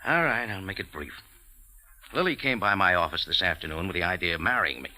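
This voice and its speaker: Hardboiled American expatriate, low pitch, lively swooping delivery, wry and laconic, vintage radio drama. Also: male voice, fairly quick, exaggerated voice. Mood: explaining. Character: wry American café owner in Cairo, hardboiled adventurer. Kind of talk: 1940s radio drama acting with first-person narration. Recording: old radio recording with organ music.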